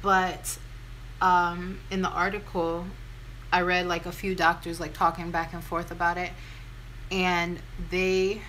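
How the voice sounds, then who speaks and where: A young American woman with a deep voice talks casually and candidly, in a self-deprecating, informal way, as a vlogger telling a story. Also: flat delivery, a little slow, a bit bright, a little hiss or hum, rough recording. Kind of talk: casual chatty get-ready-with-me storytime.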